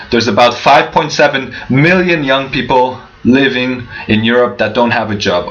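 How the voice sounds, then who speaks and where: Young man, keynote speaker, earnest and mid-pitched, over a muffled low-fi livestream. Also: a bit bright. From Canada. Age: young adult. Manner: earnest, with frequent restarts and rhetorical questions. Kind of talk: prepared keynote speech. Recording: dull lo-fi livestream audio.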